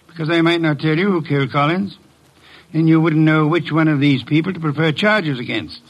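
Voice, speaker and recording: Imperious older male detective for a vintage radio drama, slightly high voice, creaky edge, pompous and deliberate. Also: lo-fi sound. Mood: smug, commanding. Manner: pompous, precise, deliberate diction. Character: imperious, brilliant older male private detective, gruffly dismissive. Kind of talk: 1950s radio drama acting.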